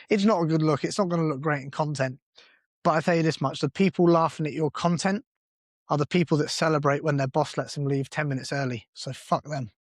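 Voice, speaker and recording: A man's voice: a little high, dry recording. Man, podcast co-host, blunt, swears casually, dry studio sound. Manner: blunt and swearing, self-deprecating.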